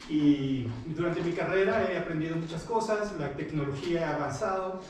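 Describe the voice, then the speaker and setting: Man, 40s, from Guatemala, guest speaker; a higher voice, hesitant, picked up from afar in a reverberant room. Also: normal speed, darkish tone, far from the mic. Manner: hesitant, many fillers and restarts, friendly. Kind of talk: informal talk to students. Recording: echoey hall, picked up from afar.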